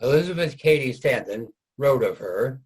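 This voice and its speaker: A man's voice: medium pitch, animated, normal speed, husky. A man narrating a scripted historical reader's theater piece.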